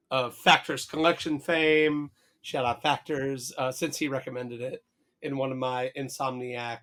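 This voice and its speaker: A hoarse man co-hosting a podcast, chatting casually, swearing and hesitating often. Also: mid-range voice, a bit bright. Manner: rambling, swears casually, many fillers and restarts. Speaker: podcast co-host. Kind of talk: casual chat.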